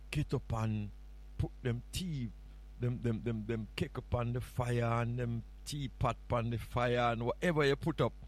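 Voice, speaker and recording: A man with a Jamaican accent chatting casually as a radio host, often repeating words and phrases for emphasis. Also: mid-range voice. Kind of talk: casual morning radio show chat. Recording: music playing behind the voice. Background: music.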